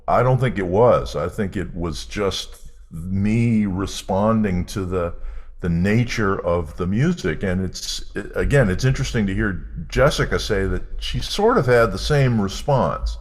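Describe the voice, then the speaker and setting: An older man, a blues singer, talking slowly and thoughtfully on a video call from home. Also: deep voice. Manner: slow and reflective. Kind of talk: casual chat on a video call. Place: room at home.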